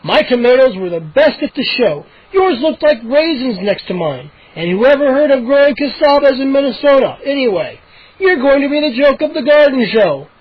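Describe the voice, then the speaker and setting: A man's voice: very high-pitched, very animated, moderate pace, breathy edge, no room sound, voice pushed up, full character voice. A man voicing an indignant father who mocks his neighbor. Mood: mocking. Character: angry proud father, grown man. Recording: dull lo-fi recording.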